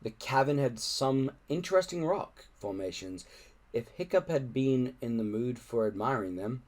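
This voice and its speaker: A man reading a children's adventure book aloud in an expressive narrating style. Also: mid-range voice, moderate pace. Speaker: audiobook-style reader.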